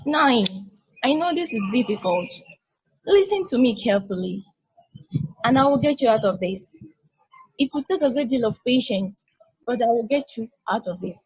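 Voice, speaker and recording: Woman acting a very husky, reassuring space probe. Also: fairly high pitch, animated, no echo, highs cut off, exaggerated voice. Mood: calm, instructive. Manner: gentle, measured, reassuring. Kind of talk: amateur play table read.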